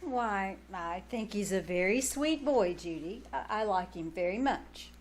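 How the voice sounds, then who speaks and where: An actress playing a sweet, kindly young aunt with a genteel manner, in 1940s radio comedy style. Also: female voice, medium pitch, expressive, unhurried, a little hiss or hum, lightly acted. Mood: puzzled, sweet. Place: live on stage in a small theater.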